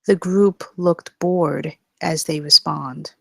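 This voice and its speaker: A woman's voice: low-pitched, steady tone, slow, vocal fry, darkish tone, no echo, studio quality. A woman narrating the stage directions of a comedy screenplay at a table read.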